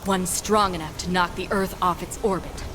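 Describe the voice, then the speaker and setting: A woman's voice: fairly low pitch, steady tone, moderate pace, noisy background, lightly acted. A woman playing a determined, level-headed commando in a video game, speaking in a focused, matter-of-fact briefing tone. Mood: focused, serious. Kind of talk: video game cutscene acting.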